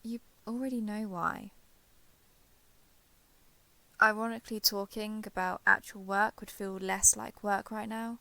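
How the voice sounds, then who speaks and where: A woman playing a shy, guarded former villain turned ally in an intimate romance audio roleplay, halting, defensive and awkwardly sincere. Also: medium pitch, flat delivery, vocal fry, sharp tone, no echo. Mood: grudging, defensive.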